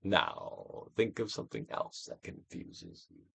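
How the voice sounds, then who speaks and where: A man narrates a self-help book like a stage performance. His pitch swings widely as he swells and drops his emphasis with deliberate care. The recording is dry and close, with hardly any room sound. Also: wildly swooping pitch, gravelly, a bit bright, husky, no room sound. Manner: theatrical, deliberate delivery with swelling and falling emphasis. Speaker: narrator, audiobook reader. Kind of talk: dramatic reading of a self-help book, guided exercise.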